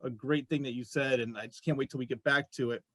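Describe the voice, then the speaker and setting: A man's voice: medium pitch, fairly even intonation, fast talker, fairly bright tone, no echo. A man co-hosting a casual interview show, talking in an effusive, appreciative way. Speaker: show co-host and voice actor.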